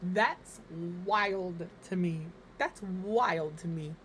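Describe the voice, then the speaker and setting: A woman from Minnesota streaming from home, chatting casually and rambling, her voice slightly hoarse and crackly as it recovers. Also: on the low side, very slow, a bit bright. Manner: rambling, chatty, self-deprecating. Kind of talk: casual chat while gaming.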